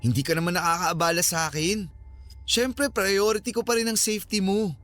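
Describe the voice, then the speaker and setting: A man's voice: sits high, steady tone, fairly quick, fairly bright tone, no echo. A Filipino man playing a young horror vlogger, a dismissive but caring boyfriend, in a radio drama. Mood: teasing, reassuring.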